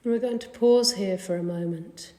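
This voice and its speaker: A woman leading a guided meditation in a gentle, soothing, unhurried manner. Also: mid-range voice. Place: indoor carpeted room. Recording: echoey room with long reverb.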